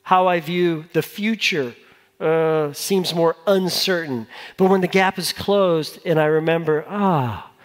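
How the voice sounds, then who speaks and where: A man's voice: on the high side, takes it slowly. A man preaching as a pastor in an informal, conversational style, repeating phrases for emphasis and putting questions to his listeners. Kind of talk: conversational sermon. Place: large church hall with a congregation. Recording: long hall reverb.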